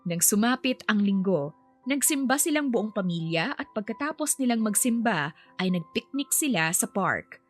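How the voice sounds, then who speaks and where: Casting: female narrator for scripted audio fiction, mid-pitched, light music bed. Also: expressive, moderate pace. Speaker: story narrator. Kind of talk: scripted narration of a family romance story.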